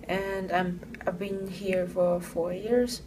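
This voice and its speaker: A woman, hesitantly answering interview questions in halting phrases. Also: on the low side, steady tone. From China. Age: 20s. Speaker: student interviewee. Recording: distant mic with noticeable background noise.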